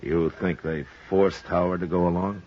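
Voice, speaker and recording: Weary old west marshal, american, really deep voice, measured, dull vintage radio drama sound. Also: male voice, fairly even intonation. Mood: grave, courteous. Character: stoic world-weary frontier marshal in an American western. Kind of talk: 1950s radio western acting and narration. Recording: dull old radio recording, faint music.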